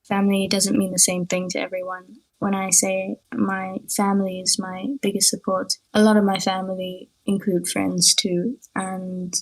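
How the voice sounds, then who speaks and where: A woman's voice: medium pitch, completely flat delivery, moderate pace, hardly any pauses, poor audio. A woman from South Africa, a psychology student, answering interview questions over a remote call in a sincere, reassuring way. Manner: sincere, reassuring, laughs lightly.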